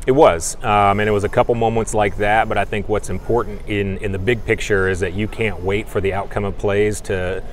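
Man, coach, low voice, measured, lapel mic, faint background hum. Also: level tone. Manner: measured, thoughtful, many fillers. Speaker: volleyball coach. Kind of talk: postgame interview. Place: indoor gym backdrop.

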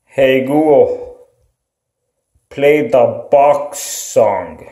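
A man in a small bathroom, slowly and flatly giving voice commands. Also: mid-range voice. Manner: slow, flat, deliberate commands. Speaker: video creator. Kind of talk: repeated voice commands. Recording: echoey bathroom.

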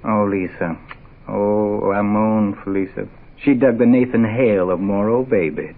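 A man's voice: lowish voice, unhurried. An actor playing a smug defector spy turned gunman, taunting in drawling hipster slang in a 1960s radio mystery. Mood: mocking. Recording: muffled, phone-like vintage radio recording.

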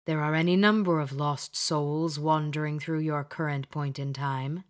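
A woman voicing a stern male spirit who speaks from a mirror, slow and grave. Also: deep voice, steady tone, close to an everyday voice.

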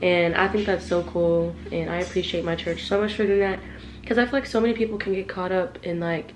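A woman in her twenties talking chattily to her viewers, her voice a little hoarse from a cold, filming herself with a handheld camera. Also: lowish voice, fairly even intonation, fairly quick, slight background noise, poor audio. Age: 20s. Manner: chatty, rambling, talks straight to the viewers. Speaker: vlogger. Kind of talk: casual vlog chat. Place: small bedroom at home. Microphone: handheld camera, close.

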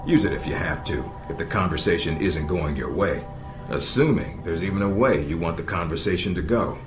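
An actor playing a calm, sardonic middle-aged spy handler in a video game, measured and dryly blunt. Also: male voice, deep voice, natural voice. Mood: measured, instructive. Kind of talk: video game cutscene acting. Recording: roomy echo with music behind.

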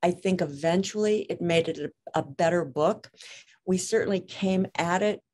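Woman, somewhat low pitch, interviewed author, careful phrasing, frequent qualifications, conversational. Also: normal speed, a little mellow.

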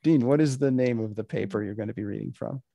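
A man moderates an online panel with a gravelly, mellow voice. His phrasing is polite and measured, and the sound is very dry. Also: medium pitch, no echo, muffled recording. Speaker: host and moderator. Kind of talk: moderating a comic panel event. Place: indoor room on a video call.

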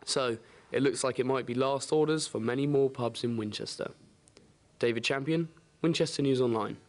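A man's voice: medium pitch, moderate pace. A man giving a scripted local news report as a reporter.

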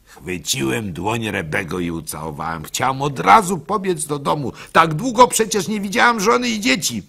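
A man playing a naive, trusting village baker, telling his story as an expressive, halting radio monologue. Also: a little high, vocal fry, slightly rough, exaggerated voice. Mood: wistful, confiding. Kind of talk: dramatic first-person radio monologue.

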